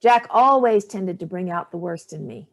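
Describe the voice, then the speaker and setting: A woman playing a sharp-tongued older mother. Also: lowish voice, lively intonation, normal speed. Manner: dry, cutting. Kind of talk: staged play reading.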